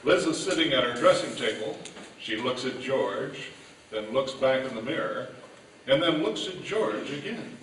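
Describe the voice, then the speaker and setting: A man's voice: medium pitch, animated, measured pace, slight background noise. A man announcing and narrating an old-time radio show from a script, live on stage. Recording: echoey hall, distant, loud music behind.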